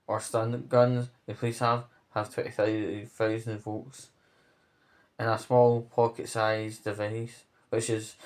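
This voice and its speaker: A man giving off-the-cuff spoken commentary on local news, rambling, pausing mid-phrase and checking in with the listener. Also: medium pitch.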